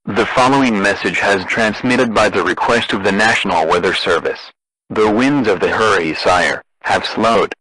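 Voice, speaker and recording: Bright, low-pitched deadpan male alert announcer. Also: normal speed, breathy edge, no echo, rough recording. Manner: deadpan, formal. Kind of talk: scripted emergency broadcast narration.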